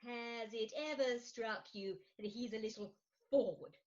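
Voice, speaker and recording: A dull, lo-fi recording of a high-voiced wife played by a woman in a stage comedy. She is theatrical and indignant, slow and emphatic, pleading her case with great drama. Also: fairly even intonation, darkish tone, natural voice. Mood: confiding. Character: Agatha Posket, dramatic middle-aged Victorian wife and indignant, cajoling mother. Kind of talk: comic Victorian stage play reading.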